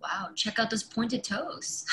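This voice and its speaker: A woman's voice: a little high, lively intonation, slow pace, creaky. A woman hosting a class, chatting casually in an effusive, informal way. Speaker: ballet class host and channel founder. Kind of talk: casual, conversational interjection. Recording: roomy echo, faint background noise.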